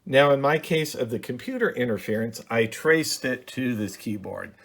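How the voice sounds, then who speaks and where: A man's voice: mid-range voice, big pitch swings, normal speed, vocal fry, fairly bright tone. A middle-aged man casually explaining a hobby project in a home office, hesitant and plainspoken with frequent ums. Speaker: radio hobby video host. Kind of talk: casual how-to demonstration.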